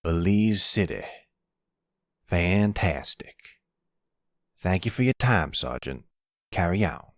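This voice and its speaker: A man's voice: deep voice, animated, takes it slowly, pauses often, no room sound, clean studio sound, heavily acted. A man playing a middle-aged mafia boss in a roleplay audio drama, commanding and clipped yet affectionate. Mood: firm, expectant.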